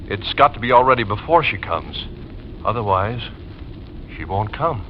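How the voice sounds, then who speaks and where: A man playing a gentle, wistful, polite widower obsessed with recreating his old home, in 1940s radio drama style. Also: lowish voice, expressive, moderate pace, natural voice. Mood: anxious, insistent. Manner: polite, earnest, nostalgic. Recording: dull, noisy old radio recording.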